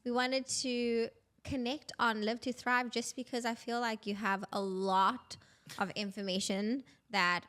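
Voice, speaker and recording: A woman's voice: on the high side, level tone, unhurried, a bit bright, smooth. A woman hosting a podcast, interviewing a friend in a casual, chatty, effusive way.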